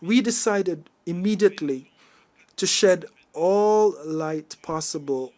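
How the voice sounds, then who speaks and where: Male conference interpreter, slightly high voice, formal register, halting and uneven live delivery of a political address. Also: a little mellow. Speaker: simultaneous interpreter.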